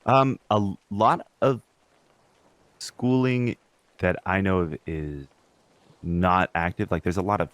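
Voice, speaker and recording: A man's voice: low-pitched, takes it slowly, long pauses, fairly bright tone, dry recording. A young man co-hosting a podcast, chatting informally and swearing casually. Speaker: podcast co-host; substitute teacher.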